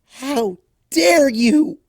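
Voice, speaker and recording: A reader voicing a shy young female pegasus pony who yells in shrill, pleading, fiercely protective outbursts. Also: very slow, vocal fry, no room sound, studio quality, full character voice. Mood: indignant yelling. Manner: shrill, pleading, emphatic shouting.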